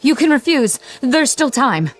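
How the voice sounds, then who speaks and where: An actress playing a desperate woman in a radio drama, pleading urgently and haltingly with a colleague. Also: high voice, sing-song, soft background music.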